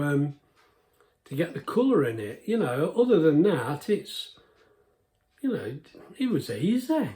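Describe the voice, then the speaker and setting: A man's voice: a little high, dark tone. An older man, chatting casually and rambling a little as he cooks in his home kitchen. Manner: chatty, rambling, self-deprecating. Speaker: home cooking vlogger. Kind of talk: casual cooking commentary.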